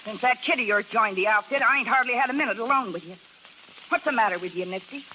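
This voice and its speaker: A woman's voice: on the high side, quick pace, bright, forceful tone. An actress playing a bitter, jilted, scheming carnival showwoman with slangy, tough American talk, in 1930s radio drama style. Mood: hurt, complaining. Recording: noisy 1930s radio recording.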